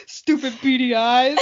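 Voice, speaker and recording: A woman's voice: sits high, muffled recording. A young adult woman co-hosting a podcast in casual comedic banter, silly, teasing and giggly. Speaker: podcast co-host.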